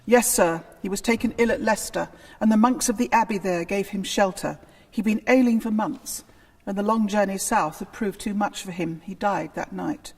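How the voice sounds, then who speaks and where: A woman's voice: medium pitch, moderate pace, a little mellow, breathy edge. A woman voicing a grieving, deferential servant in a hall. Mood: sorrowful. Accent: British. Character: devoted, grieving gentleman usher, deferential and uneasy. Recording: reverberant large hall.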